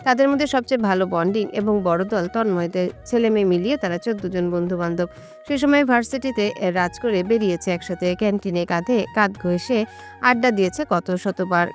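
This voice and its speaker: A woman's voice: mid-range voice, a little fast, soft background music. A woman reading a scripted romantic story as its narrator.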